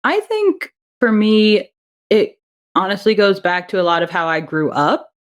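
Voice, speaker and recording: A woman's voice: mid-range voice, animated, a little slow. A woman being interviewed on a podcast, talking reflectively with frequent laughs and fillers. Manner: warm, reflective, laughs often, many fillers. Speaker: audio drama writer and director, podcast guest. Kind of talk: casual interview answers.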